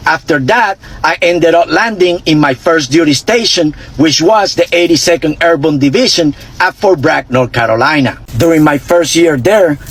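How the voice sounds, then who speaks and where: A man's voice: fairly high pitch, husky. Blunt Puerto Rican-accented man in his 50s. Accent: Puerto Rican Spanish. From Puerto Rico. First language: Spanish. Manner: blunt and earnest, with occasional cursing. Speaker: army veteran turned political commentator. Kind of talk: storytelling monologue on social media.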